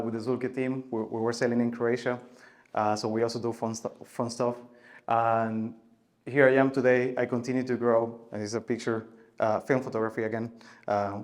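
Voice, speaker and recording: Man giving a halting tech talk. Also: medium pitch. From Venezuela. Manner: halting, many filler sounds and restarts, loudness rising and falling. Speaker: conference speaker. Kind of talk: conference talk.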